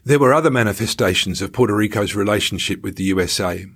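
A man narrates a written travel story in a low voice. His delivery is measured, like an author reading his own memoir, with a dry, ironic edge. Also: a bit bright, breathy edge.

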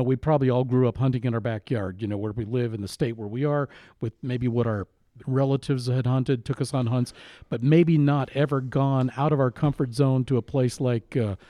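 A man's voice: medium pitch, steady tone, moderate pace, warm tone, no room sound, studio quality. An American man co-hosting a podcast in casual conversation. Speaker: podcast co-host.

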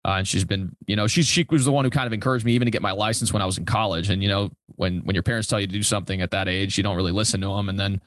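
Man, young adult, casual podcast guest, quick pace, slightly low pitch, dry close sound. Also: steady tone, fairly bright tone, no echo. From Europe, born in Italy and raised there and in Germany until fourteen. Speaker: podcast guest, commercial real estate broker. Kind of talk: casual interview chat.

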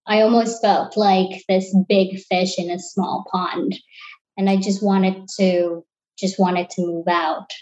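A woman's voice: mid-range voice, level tone, slow pace, darkish tone. Woman, 30s, from Sri Lanka, interview guest, reflective, hesitant restarts, slightly muffled recording. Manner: thoughtful, searching for words with restarts.

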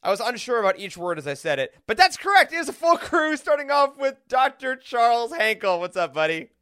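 A man's voice: very high-pitched, sing-song, brisk, bright, forceful tone, smooth. A man hosting a podcast in a casual, chatty style with a jokey, effusive manner. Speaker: podcast host. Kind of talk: casual upbeat chat.

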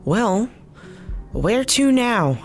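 A woman's voice: on the high side, big pitch swings, extremely slow, full character voice. A woman playing an efficient, dryly superior teammate in a fiction podcast, with a businesslike manner. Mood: dry, smug. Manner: dry, businesslike. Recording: music underneath.

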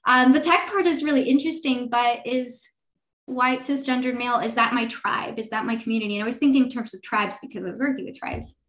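Woman, thirties, podcast guest, higher voice, chatty, animated. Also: brisk. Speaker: venture fund partner, guest. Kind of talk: conversational interview answers.

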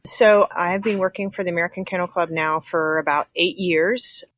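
A woman's voice: on the low side, fairly even intonation, moderate pace, a bit bright, no echo. A woman from New Zealand answering conversationally as a podcast interview guest. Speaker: podcast guest, executive director.

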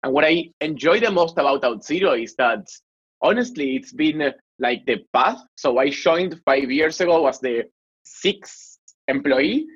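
A man from Argentina with an Argentine accent, talking casually as a guest in an interview, chatty and animated with frequent fillers. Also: fairly high pitch, a little slow, slightly rough, muffled recording. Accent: Argentine Spanish. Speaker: guest, marketing executive.

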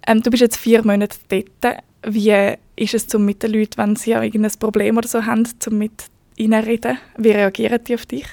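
Woman, Swiss German accent, podcast host, brief questions, even tone, dry sound. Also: on the high side, brisk, creaky, hardly any pauses, no echo. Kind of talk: interviewing.

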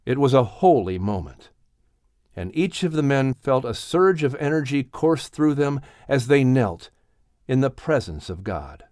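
A man narrating an audiobook story in an expressive, dramatic storytelling manner. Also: medium pitch, measured pace, no room sound, studio quality.

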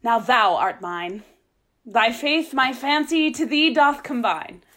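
Female actor as lovelorn young woman, sharp high voice, slow verse, Shakespearean radio drama. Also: expressive. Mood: resigned, tender. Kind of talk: Shakespearean radio play acting.

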